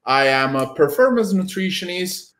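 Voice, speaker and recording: A young man with an Italian accent hosts an interview from a home room lined with bookshelves. His questions come slowly and carefully, with plenty of fillers. The call audio has a noticeably roomy echo. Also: high voice, lively intonation, fairly bright tone. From Italy. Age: young adult. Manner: measured, slow questions with many fillers. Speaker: host, performance nutritionist. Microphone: video call. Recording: echoey room on a video call.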